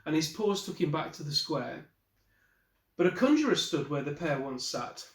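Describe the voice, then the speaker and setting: A man in his forties reading a picture book aloud as an expressive storyteller, the way a teacher would. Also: on the high side, normal speed. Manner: expressive storyteller with brief asides to listeners. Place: small wallpapered room indoors. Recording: roomy, echoey indoor recording.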